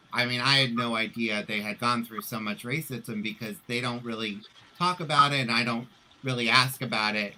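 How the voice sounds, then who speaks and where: Clearly noisy call audio with clicks carries a man's medium-pitched voice. He chats casually, as an online show host would on a livestream.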